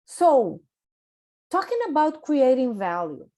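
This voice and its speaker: A woman from Brazil with a Brazilian Portuguese accent, a native Portuguese speaker presenting a coaching workshop over a video call, speaking carefully and deliberately and correcting herself now and then. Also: high voice, big pitch swings, warm tone. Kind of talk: prepared online presentation.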